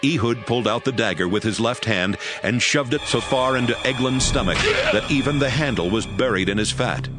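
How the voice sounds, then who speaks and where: A man narrating scripture in a steady, measured storytelling voice. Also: mid-range voice. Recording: narration over background music.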